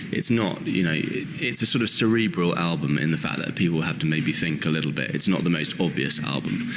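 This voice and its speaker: A man with a very low, deep voice speaks as an interview guest, stumbling and restarting as he talks. Faint room ambience sits behind him. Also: background noise. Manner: hesitant, with many restarts and fillers. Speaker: interview guest, actor and singer. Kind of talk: casual interview talk.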